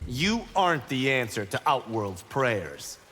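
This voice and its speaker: A young Shaolin fighter full of playful confidence. His mid-pitched, animated voice banters cockily in a reverberant game mix. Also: measured pace, a little hiss or hum. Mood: confident. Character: cocky, wisecracking young Shaolin monk fighter. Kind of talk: video game character acting.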